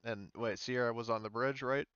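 A man's voice: medium pitch, fairly even intonation, moderate pace, a bit bright, smooth, no room sound, muffled recording. A man running an online tabletop game as game master, explaining casually with hesitant, trailing asides. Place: indoors on an online voice call.

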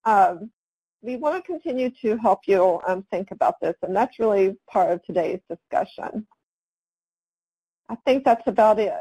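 A woman walks listeners through slides in an online webinar. She talks at a middle pitch and pauses often with "um" as she finds her words. Her voice is recorded close and dry, with no room sound. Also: lively intonation, dark tone, husky, dry recording, poor audio. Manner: halting, with frequent "um" fillers. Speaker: webinar presenter.

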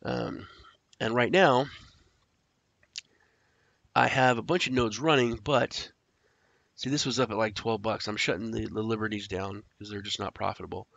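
A man who is sick and stuffed up, casually walking through a screen-share tutorial, rambling with fillers and clearing his throat. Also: fairly low pitch, fairly even intonation, normal speed, pauses often, a bit bright, no room sound. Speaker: crypto tutorial host. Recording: dry, dull lo-fi audio.

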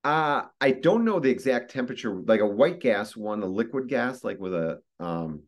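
A man's voice: medium pitch, lively intonation, normal speed. A middle-aged man with an American accent giving an informal, explanatory talk on a video call, conversational and repeating phrases for emphasis. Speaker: guest expert giving a presentation. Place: indoor room, video call. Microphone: video call microphone.

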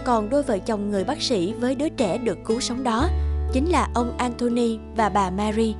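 A cartoon storybook narrator voiced by a woman reads a scripted moral tale. Her voice is fairly high, and music plays clearly behind it. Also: fairly quick, lightly acted. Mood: measured narration. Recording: loud background music.

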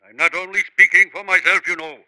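An actor in a 1950s radio drama playing a stubborn gentleman doctor demanding his money, curt and accusing. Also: sits high, steady tone, a little fast, no echo. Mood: stern, accusing. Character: stern, stubborn creditor, scholarly gentleman doctor. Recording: vintage 1950s radio recording.

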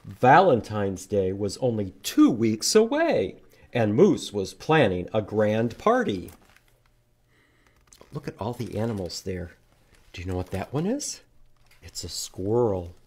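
A man in his fifties reading to young children as an animated library storytime host. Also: on the low side, measured pace, slightly rough. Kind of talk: children's storytime read-aloud. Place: indoors in a library room.

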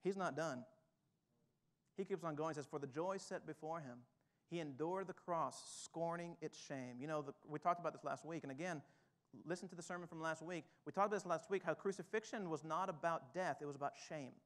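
A male pastor in his thirties preaches from a church stage through a headset mic, with a high, light voice. He moves from hushed, reflective passages up to urgent, rising exhortation. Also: fairly quick. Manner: builds from quiet to fired-up exhortation. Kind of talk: sermon. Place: church stage with drum kit, indoors.

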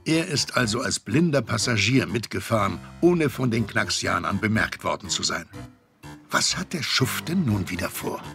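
A man tells a children's adventure as a scripted narrator, building suspense. His voice is low with a creaky grain, and music plays clearly underneath. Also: very animated, normal speed. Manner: rhetorical, storyteller's suspense. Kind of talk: scripted storytelling for children's audio drama.

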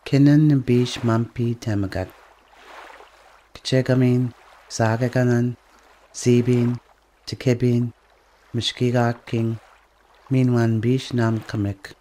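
A man recites a solemn prayer in a middle-pitched voice. His tone is dark and mellow, and he takes his time over each phrase. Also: flat delivery, slow pace, long pauses. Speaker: prayer reader. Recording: faint water noise behind.

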